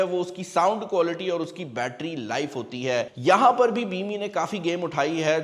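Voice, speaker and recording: A man with a fairly high-pitched voice reviews a product in a reverberant space. He talks casually and directly to viewers like buddies, explaining each point and slipping English phrases into his talk. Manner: conversational, explanatory, addresses viewers as buddy. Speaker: tech reviewer and host.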